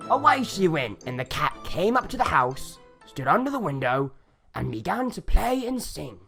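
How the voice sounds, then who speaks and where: A woman's voice: deep voice, very animated, normal speed, vocal fry, sharp tone. A woman storyteller narrating a children's folk tale. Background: instrumental music.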